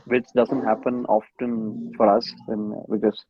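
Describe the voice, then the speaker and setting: A man's voice: lowish voice, flat delivery, a little slow, a little hiss or hum. A man from India with an Indian accent, talking casually as a musician guest in an interview. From Kochi, India. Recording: dry, dull lo-fi call audio.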